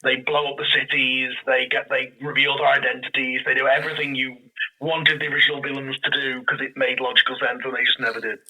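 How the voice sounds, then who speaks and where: Bright, forceful man in animated chat. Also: medium pitch, quick pace, low-quality recording. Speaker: guest, audio drama creator. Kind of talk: casual enthusiastic chat.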